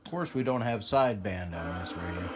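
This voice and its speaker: A man's voice: sits low, brisk. A man giving an informal, hands-on demonstration commentary at a repair bench, thinking aloud as he works. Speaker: radio repair hobbyist, video presenter. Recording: clear background noise.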